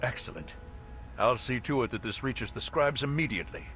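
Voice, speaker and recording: An actor playing a stern, composed military officer who gives mission briefings in a measured, formal, authoritative way. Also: male voice, mid-range voice, animated, fairly bright tone, faint music behind, natural voice. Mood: businesslike, urgent.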